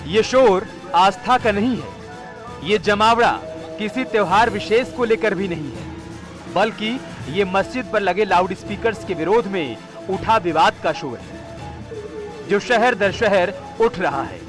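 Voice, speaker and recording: A male field reporter narrating a TV news package in a high, lifted voice. His delivery is measured and emphatic, and a faint music bed runs underneath. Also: animated.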